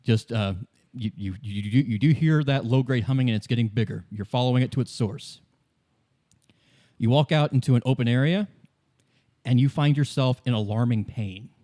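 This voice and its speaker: A man running a tabletop game, narrating scenes in a casual, halting, conversational way. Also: mid-range voice, mellow. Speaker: game master.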